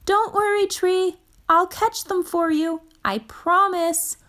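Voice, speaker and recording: A woman's voice: extremely high pitch, fairly even intonation, slow pace, fairly bright tone, voice pushed up, full character voice. A woman voicing an earnest, anxious little animal hero in a storybook, with a pleading, exclamatory way of talking. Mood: determined, reassuring.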